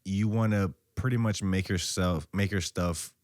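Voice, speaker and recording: Man, very low voice, laid-back podcast host, casual, dry close mic. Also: steady tone, a little slow, darkish tone, no room sound. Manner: laid-back, loose, uses "like" and swearing.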